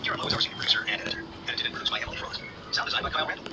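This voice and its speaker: A man's voice: very fast, recorded from a distance. A man reading scripted documentary narration as a podcast host, with a dramatic storytelling delivery. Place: outdoors on a city walk. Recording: podcast playing aloud, picked up from afar over loud street noise.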